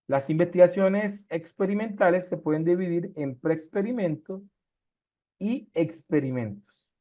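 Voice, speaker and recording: Man, high voice, online research methods lecturer, explains with everyday examples and rhetorical questions. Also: measured pace, warm tone. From Colombia.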